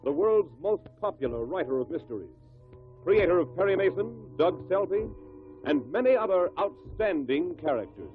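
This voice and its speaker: A man's voice: high voice, big pitch swings, moderate pace. A man announcing a 1950s radio drama in a solemn, portentous, theatrical style. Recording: tinny old radio recording with music.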